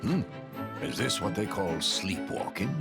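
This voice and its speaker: A terse, stoic fantasy game companion. His deadpan voice is low and unhurried, with loud music underneath. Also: gravelly, exaggerated voice. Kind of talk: video game cutscene acting.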